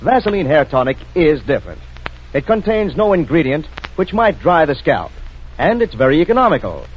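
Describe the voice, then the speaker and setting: A man's voice: fairly high pitch, very animated, a little fast, slight background noise. A man working as a radio announcer, reading scripted narration in a 1940s style. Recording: dull vintage radio recording.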